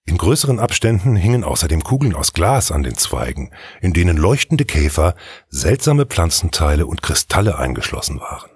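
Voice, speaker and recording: Male fairy tale narrator, husky and expressive, recorded very dry and close. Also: sits low, moderate pace, no echo. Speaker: storyteller, narrator.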